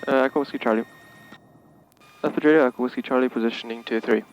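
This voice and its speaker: A man's voice: mid-range voice, fast talker, highs cut off. A man, a student pilot casually narrating his flight and making radio calls. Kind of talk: casual in-cockpit vlog chat and radio calls. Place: small airplane cockpit in flight. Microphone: cable-fed in-cockpit headset audio. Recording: cockpit audio with steady engine noise. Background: light aircraft engine drone.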